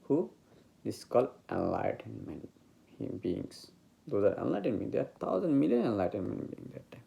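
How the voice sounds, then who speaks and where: Very gravelly low-voiced Bengali man. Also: big pitch swings, mellow. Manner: halting, searching for words in English. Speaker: meditation teacher. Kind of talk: informal spiritual talk.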